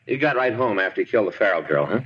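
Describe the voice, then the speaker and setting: An actor playing a blunt, probing police detective questioning in a 1950s radio drama. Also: male voice, lowish voice, animated, racing, a bit bright. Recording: muffled, hissy 1950s radio recording.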